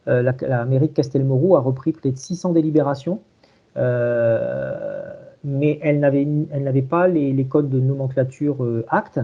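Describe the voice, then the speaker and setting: A man giving an informal technical demonstration over a video call, in a halting way with repeated words. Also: medium pitch, lively intonation. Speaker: presenter of a software tool. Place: remote video conference. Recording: dull, lo-fi online call.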